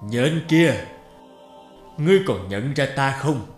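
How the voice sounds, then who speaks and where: A man voicing a wise old deity in an animated fairy tale, solemn, measured and lecturing, stern yet compassionate. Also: mid-range voice. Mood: wise, admonishing. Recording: roomy echo over guitar music.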